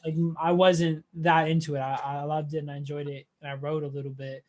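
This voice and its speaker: A man's voice: fairly high pitch, flat delivery, fast, a little mellow. A young man interviewing in a casual, hesitant, slangy way. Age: young adult. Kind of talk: casual chat.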